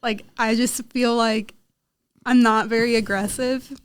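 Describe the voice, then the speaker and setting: A woman's voice: fairly high pitch, fairly even intonation, unhurried, smooth, no echo. A woman hosting a podcast in a casual, conversational way, speaking close to the mic. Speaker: podcast host.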